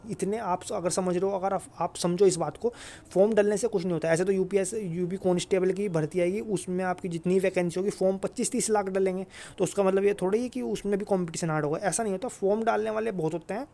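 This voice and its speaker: A man's voice: high voice, steady tone, quick pace, creaky, mellow. Man giving informal exam advice. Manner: repetitive, emphatic assurances, frequent check-in tags. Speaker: exam guidance video host. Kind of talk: informal advice talk to students.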